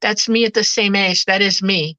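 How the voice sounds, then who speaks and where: A woman's voice: medium pitch, steady tone, moderate pace, sharp tone, dry recording, muffled recording. A woman with Swedish as her first language, telling her story earnestly on a video call with hesitant restarts. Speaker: guest. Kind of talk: casual video call conversation.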